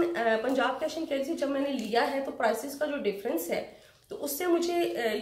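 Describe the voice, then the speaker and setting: A middle-aged woman with a fairly high voice talks a shopping haul through, step by step. She keeps an easy, chatty tone. The room around her sounds echoey. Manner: chatty, explaining prices step by step. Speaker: vlogger. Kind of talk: casual shopping vlog chat. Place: home room with shelves, indoors.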